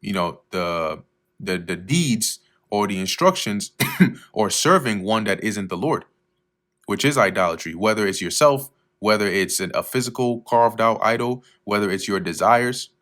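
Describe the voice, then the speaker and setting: Guy with a lowish voice reading bible verses slow then explaining em casual, clears his throat a lot. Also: lively intonation, fairly bright tone. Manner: reads scripture slowly, then explains casually with fillers. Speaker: Bible teacher.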